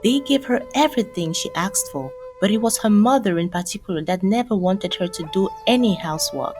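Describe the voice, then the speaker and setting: A woman's voice: mid-range voice, lively intonation, fairly quick, darkish tone. A woman storyteller giving a scripted narration of a folktale. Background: music.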